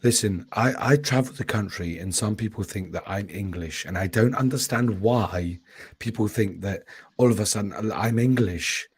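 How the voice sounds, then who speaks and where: Low, sarcastic man. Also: normal speed, breathy edge, no echo. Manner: sarcastic, mocking. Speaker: stream panelist. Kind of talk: sarcastic commentary on a live stream.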